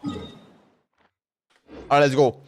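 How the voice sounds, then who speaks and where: A man giving casual live gameplay commentary as a streamer, rambling and thinking aloud. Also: takes it slowly. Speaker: game streamer.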